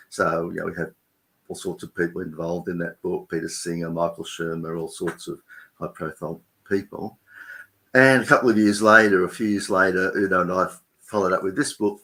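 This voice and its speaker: An older man with an Australian accent, a philosopher and writer introducing himself on a livestream panel in a relaxed, rambling way. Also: low-pitched, poor audio.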